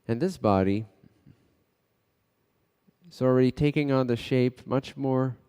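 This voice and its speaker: A man leading a guided meditation in a measured, halting way, speaking in short phrases with pauses between them. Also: mid-range voice, dark tone, clean tone. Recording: long hall-like reverb.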